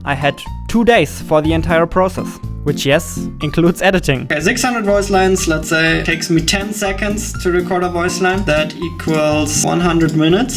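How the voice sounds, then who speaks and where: A man hosting an indie game devlog in a casual, informal way with self-deprecating humor. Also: on the high side. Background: background music.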